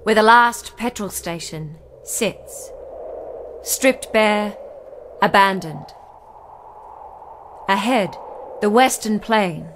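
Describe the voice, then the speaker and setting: An actress playing a solemn poetic narrator in a radio play, with measured, spare phrasing and music behind her. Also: mid-range voice, slight background noise. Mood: solemn, reflective. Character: solemn female poetic narrator of desolate roads.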